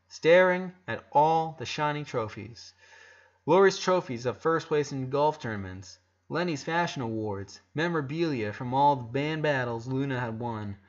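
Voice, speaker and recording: A man's voice: a little high, muffled recording. A man narrating a scripted story aloud, stumbling over a word now and then. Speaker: narrator reading a fan fiction story aloud.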